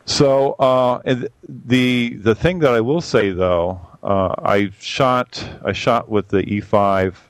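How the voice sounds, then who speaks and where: A man with a boomy voice giving a rambling, casual camera review full of fillers and restarts. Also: takes it slowly, few pauses, clear, resonant tone, no echo. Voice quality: boomy on a mic. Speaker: photography podcast regular reviewing cameras.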